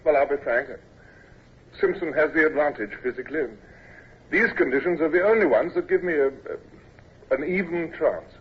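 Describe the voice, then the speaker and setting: Formal, earnest young midshipman, stiffly polite, higher voice, tinny vintage radio sound. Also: normal speed, long pauses, husky. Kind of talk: 1940s radio acting. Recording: tinny phone-like old radio recording.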